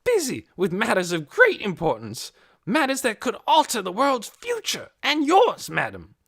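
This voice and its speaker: A man's voice: fairly high pitch, huge pitch swings, measured pace, vocal fry, bright, forceful tone, voice pushed up, heavily acted. A reader voicing a tall, stooped, pompous man who speaks formally and with indignation in an audiobook. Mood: indignant. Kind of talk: audiobook character voice.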